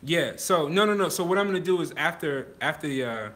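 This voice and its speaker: A man's voice: a little high, animated, a little fast, a bit bright, slight background noise. A man in his thirties from Virginia, hosting a casual livestream from a photo studio on a phone held at arm's length, often laughing and reading viewer comments aloud. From Virginia, USA. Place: photo studio in a garage, indoors.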